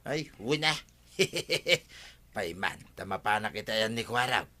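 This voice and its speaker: A man's voice: lowish voice, lively intonation, measured pace, pushed and bright. An actor with an Ilocano accent playing a casual, talkative male neighbour in a comedy drama.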